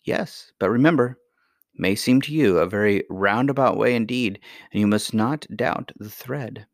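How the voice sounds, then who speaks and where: A mysterious, kindly grandmother from a children's storybook, read by a male narrator. The voice sits fairly low and stays serene, gentle and patient, reassuring as it instructs. The sound is dry. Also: normal speed, no echo, lightly acted. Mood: patient, instructive. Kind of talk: acted dialogue in a children's fairy tale reading.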